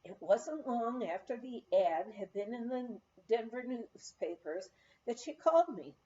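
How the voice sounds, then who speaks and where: A woman narrating a written memoir as an audiobook reader, with expressive storytelling. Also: fairly high pitch, measured pace, lo-fi sound.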